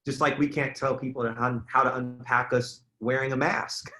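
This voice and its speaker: Earnest male moderator, medium-pitched voice. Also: flat delivery, normal speed, sharp tone, poor audio. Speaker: forum moderator. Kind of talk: conversational panel moderating.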